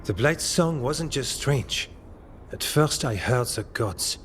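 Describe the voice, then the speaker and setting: An actor playing a gentle, earnest warden in a video game, with a halting, thoughtful delivery. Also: male voice, mid-range voice, expressive, soft background music. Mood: uneasy, brooding. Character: gentle, earnest male warden, uneasily attuned to eerie evil. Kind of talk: dramatic video game acting.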